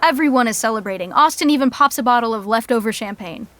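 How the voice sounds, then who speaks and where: A woman's voice: fairly high pitch, fast talker, fairly bright tone, some chatter behind, slight background noise, natural voice. A woman narrating a scripted audio sitcom as a wry storyteller who comments on the action. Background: music.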